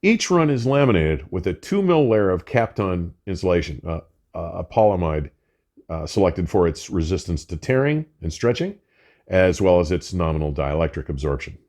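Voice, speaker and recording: An older man with a low-pitched voice hosts a scripted equipment review. His intonation swings widely as he reads, stressing key terms with care. The phrasing is formal and measured. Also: big pitch swings, a little mellow. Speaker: audio equipment reviewer and host. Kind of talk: scripted product review narration.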